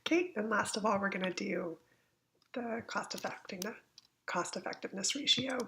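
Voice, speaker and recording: A woman with a gravelly voice, getting over a cold, gives an informal step-by-step software tutorial, somewhat hesitant as she thinks aloud. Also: mid-range voice, moderate pace, slight background noise. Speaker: instructor giving a software tutorial. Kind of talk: informal step-by-step screencast walkthrough. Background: computer keyboard typing.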